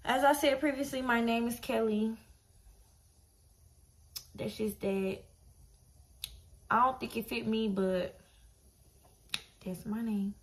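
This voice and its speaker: Slow, creaky young woman from Detroit. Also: on the high side, steady tone, slight background noise. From Detroit, Michigan, USA. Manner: laid-back, slangy, blunt. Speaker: vlogger. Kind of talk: casual chat to camera. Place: small bedroom, indoors.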